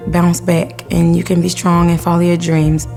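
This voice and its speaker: A woman with a low, gravelly and mellow voice gives a steady, sincere testimonial. Her delivery is flat, and soft music plays beneath her. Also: moderate pace. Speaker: graduating student. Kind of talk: interview testimonial. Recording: music bed underneath.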